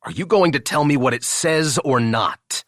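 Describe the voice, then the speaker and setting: A man's voice: fairly high pitch, moderate pace, a bit bright, no room sound, studio quality, pitched above the performer's own voice, lightly acted. A man voicing an irritable, commanding billionaire boss with a terse, blunt, exasperated manner in an audiobook. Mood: fed up. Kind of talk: audiobook character dialogue.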